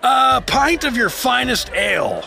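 A man's voice: very high voice, very animated, a little slow, creaky, over music, heavily acted. A man playing a goofy, boastful, drunken adventurer in a fantasy audio drama, slurring and muddling his words. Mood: tipsy, cheerful.

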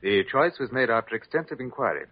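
An actor playing a guarded, insistent gentleman in an old radio drama, speaking formally and precisely. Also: medium pitch, lively intonation, quick pace, close to an everyday voice. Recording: dull vintage radio recording.

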